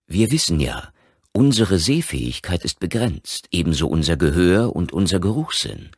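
A man acting a husky, emphatic psychiatrist. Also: low voice, big pitch swings, normal speed, no room sound, studio quality, full character voice. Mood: earnest, convinced. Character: opinionated male psychiatrist, earnest and frank. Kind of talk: audiobook character acting.

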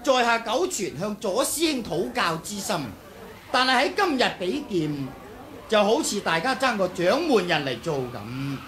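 An actor with a Hong Kong Cantonese accent playing a polite, guarded middle-aged swordmaster in an old radio drama, measured and self-effacing. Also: male voice, high voice, very animated, fairly bright tone, heavily acted. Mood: guarded courtesy. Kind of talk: 1980s radio drama acting.

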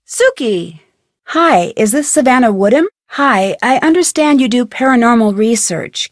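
Casting: young female sleuth in a mystery game; higher-pitched, curious, determined, questioning delivery, close dry recording. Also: no room sound. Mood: determined, earnest. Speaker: video game voice actress. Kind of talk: scripted game dialogue, conversational questions.

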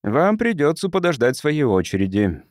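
A man's voice: a little high, very animated, normal speed, no echo, clean studio sound, pitched above the performer's own voice. A man voicing a smug, cold welfare investigator in an audiobook, officious and condescending. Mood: cold, dismissive. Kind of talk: audiobook character dialogue.

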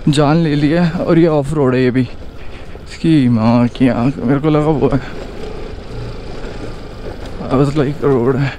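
A man with an Indian accent giving casual, excited running commentary while riding a motorbike on a rough mountain road. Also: mid-range voice, takes it slowly, long pauses, a little hiss or hum. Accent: Hindi-speaking Indian. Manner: excited exclamations, chatty. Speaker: motovlogger.

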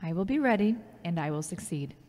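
A woman's voice: lowish voice, lively intonation, brisk, dark tone, natural voice. A woman playing a touchy, ambitious grown daughter in an audio drama, speaking in a dry, defensive way. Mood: determined, dry. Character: Charity, touchy, ambitious grown daughter, radio station worker. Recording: long echoey reverb.

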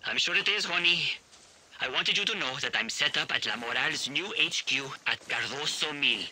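A man's voice: a little high, expressive, normal speed, pushed and bright, a little hiss or hum, poor audio. An actor playing a wry, teasing male rebel contact in a video game. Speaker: resistance ally on the radio.

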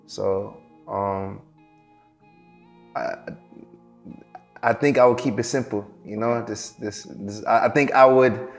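Young man, low monotone, soft and hesitant interview guest, faint music under. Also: gravelly. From Morocco, raised in Seattle. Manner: halting, many fillers and restarts, soft-spoken. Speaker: guest, performing artist. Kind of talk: casual interview answers. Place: dark studio set.

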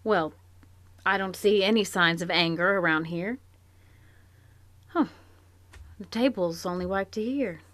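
A woman's voice: on the low side, measured pace, long pauses, fairly bright tone, no room sound. An actress playing a shrewd, plainspoken farm wife in a live audio drama, wry and rural in her talk. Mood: indignant. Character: shrewd, sympathetic farm wife, middle-aged. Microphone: home closet mic, dry.

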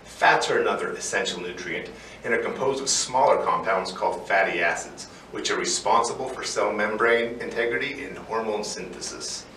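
A plainspoken man in his forties walks through a prepared explainer, his lowish voice rising to stress key words. The mic is set back, so the sound is roomy, with faint noise underneath. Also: a bit bright, recorded from a distance. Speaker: co-host. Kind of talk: scripted educational explainer. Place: indoor studio set with barn-wood backdrop.

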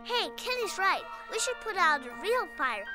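A very high, lively little cartoon boy, excitable and quick to squabble. He sounds childlike and eager, over a musical backing. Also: music in the background. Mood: eager, squabbling. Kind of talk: animated comedy dialogue.

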